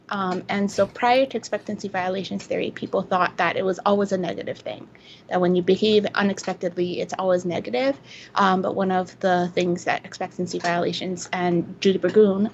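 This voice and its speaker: A woman from Hawaii speaking as a researcher guest, explaining things casually in a halting, thoughtful way on a lo-fi recording. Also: mid-range voice. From Hawaii, United States. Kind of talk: casual explanatory interview answers. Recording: dull lo-fi sound with faint noise.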